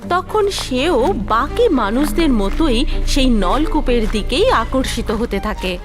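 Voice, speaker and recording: A woman narrates a children's cartoon tale in a high, animated voice. Her delivery is expressive and scripted, with music clearly underneath. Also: takes it slowly. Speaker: storyteller, narrator.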